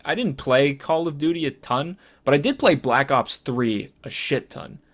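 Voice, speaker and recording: A man's voice: a little high, expressive, moderate pace. A man co-hosting a casual movie and game podcast, hesitant as he thinks aloud. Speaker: podcast co-host.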